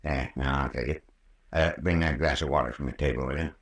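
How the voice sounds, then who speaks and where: An actor playing a folksy, patient, long-winded country doctor with a rural American accent in an old-time radio drama. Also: male voice, a bass voice, fairly even intonation, creaky. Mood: soothing. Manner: folksy, unhurried, patient storytelling. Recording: roomy, distant old radio recording.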